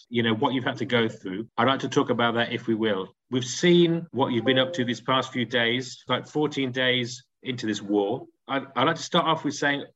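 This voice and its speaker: A man's voice: mid-range voice, a bit bright. Rambling male interviewer, muffled audio. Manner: rambling questions with many fillers. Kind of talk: podcast interview. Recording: dull lo-fi call audio.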